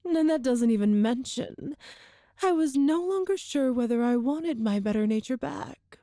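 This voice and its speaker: A woman playing a cruel, smug, seductive empress in a scripted ASMR roleplay, speaking formally and measuredly with lingering pauses. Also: high-pitched, lively intonation, dark tone, no room sound, studio quality. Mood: cold, calculating. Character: cruel all-powerful evil empress, coolly seductive and smug.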